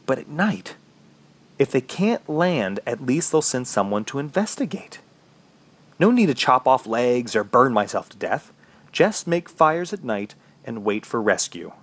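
An actor playing a trapped, injured man fighting to survive, telling his story in a horror audio drama with a husky, dramatic, self-questioning delivery. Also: mid-range voice, very animated, measured pace. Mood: tense, resolving. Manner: dramatic, self-questioning, swinging from muttering to shouting. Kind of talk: first-person horror audio drama narration.

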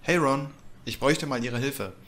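A man's voice: mid-range voice, normal speed, a bit bright, a little hiss or hum, lightly acted. A man playing a polite, persistent investigator in an audio drama. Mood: businesslike. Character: male investigator, polite, persistent, street-smart. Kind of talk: audio drama acting in German.

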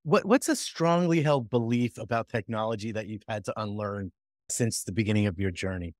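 A man's voice: fairly low pitch, fairly quick, dry recording, studio quality. A man in his forties hosting a video call interview over a headset, asking questions with many hesitant fillers. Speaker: interview host. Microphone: over-ear headset.

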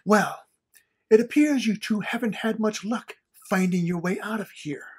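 A woman's voice: medium pitch, moderate pace, very noisy, close to an everyday voice. A woman playing an observant, dry adventurer in an audio drama.

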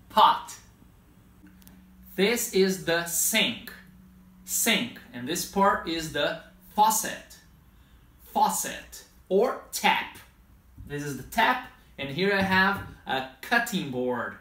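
A man in his thirties teaching vocabulary, speaking deliberately with clear enunciation and repeating key words, recorded in a small home kitchen. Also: fairly high pitch, sharp tone. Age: 30s. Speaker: English teacher. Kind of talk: vocabulary lesson, demonstrating words. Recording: echoey room sound.

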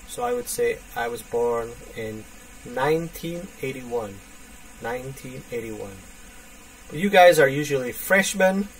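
A man in his thirties teaching a basic English lesson, speaking slowly and repeating phrases for learners. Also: mid-range voice. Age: 30s. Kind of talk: online English lesson for students. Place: indoors, small room. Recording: distant webcam mic with faint hiss.

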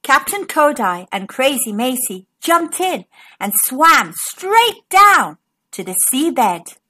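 A woman reading a children's story as narrator and host, with expressive, dramatic emphasis. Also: high voice, slow, husky. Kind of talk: scripted read-aloud for young children.

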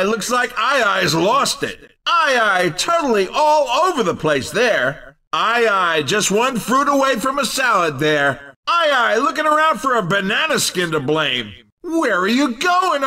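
A man voicing an excitable, cheeky race commentator in a video game, with punchy, exclamatory delivery. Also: very high-pitched, distant mic, exaggerated voice. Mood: excited, teasing.

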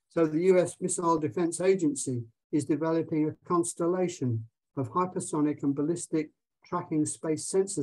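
Man reading a measured talk. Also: on the high side, a little mellow, low-quality recording. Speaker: webinar speaker. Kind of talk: prepared presentation read aloud.

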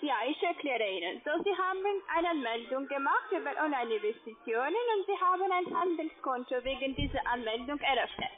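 High-pitched foreign-accented woman on the phone. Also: animated, brisk, hardly any pauses. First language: not German. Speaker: call-centre caller selling trading accounts. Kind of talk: sales pitch on a phone call. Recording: tinny narrowband phone line.

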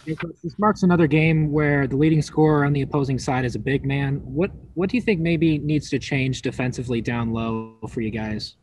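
A man's voice: medium pitch, fairly quick, hardly any pauses, darkish tone, slight background noise, rough recording. A male reporter putting direct questions to a coach at a press conference.

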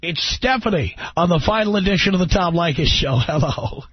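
A man's voice: high-pitched, animated, a little fast, husky. A man hosting a call-in radio talk show, joking brashly with callers and reading out the phone number. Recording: muffled broadcast radio audio.

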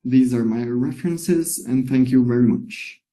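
Man with a very dark, mellow voice. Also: medium pitch, measured pace, low-quality recording. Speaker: medical presenter. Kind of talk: reading a case presentation.

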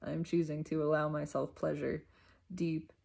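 Woman, low voice, vocal fry, reflective self-help musing, unhurried, emphatic repetition. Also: level tone, darkish tone. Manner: unhurried, emphatic, repeating phrases.

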